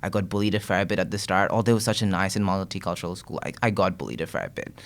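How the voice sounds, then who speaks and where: Young guy from bangladesh, really low voice, earnest and thoughtful, lots of ums and restarts. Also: racing. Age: young adult. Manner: earnest, reflective, many fillers and restarts. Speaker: guest content creator. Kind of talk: casual interview talk.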